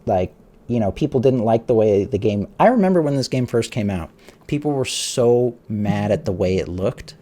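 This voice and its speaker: A man's voice: lowish voice, expressive, normal speed, dark tone. A man live streaming a casual, emphatic, rambling rant to his chat, swearing now and then. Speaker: live streamer giving opinions.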